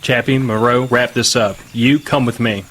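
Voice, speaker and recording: An actor playing a shrewd, steady military commander in an audio drama, giving terse, authoritative orders. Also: male voice, mid-range voice, normal speed, slight background noise, lightly acted. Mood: firm, measured. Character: shrewd, steady male military commander in post-disaster America. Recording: loud dramatic music underneath.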